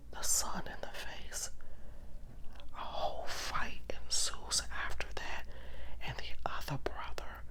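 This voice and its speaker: Slow, whispered ASMR storyteller of unclear sex. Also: mid-range voice. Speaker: ASMR storyteller, channel host.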